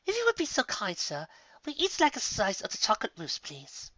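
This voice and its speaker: A man's voice: sits high, huge pitch swings, brisk, sharp tone, dry recording, muffled recording, voice pushed up, exaggerated voice. A man voicing a refined, chatty young female unicorn with genteel, formal manners in a story reading. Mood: polite.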